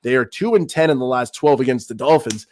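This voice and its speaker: A man's voice: mid-range voice, fairly quick, darkish tone. A man in his thirties from Peru, hosting a live-stream sports talk show with hyped, trash-talking banter. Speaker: podcast host.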